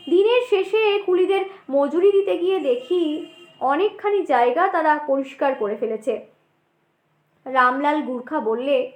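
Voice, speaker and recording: Very high-pitched, slow-paced woman storyteller. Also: reverberant. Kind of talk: dramatic scripted ghost-story narration.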